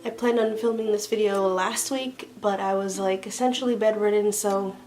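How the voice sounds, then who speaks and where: A woman's voice: medium pitch, steady tone, normal speed, darkish tone, soft background music, rough recording. Chatty young woman, slightly stuffy. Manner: chatty, rambling, lots of "like" and restarts. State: stuffy from a cold. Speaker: vlogger. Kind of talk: casual chat to camera. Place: small bedroom with string lights, indoors.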